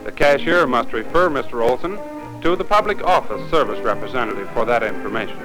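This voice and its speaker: A man with an American accent narrates a 1950s training film in a formal, instructive way. His mid-range voice moves with lively inflection. Light music sits behind it on the old soundtrack. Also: mid-range voice, brisk, rough recording.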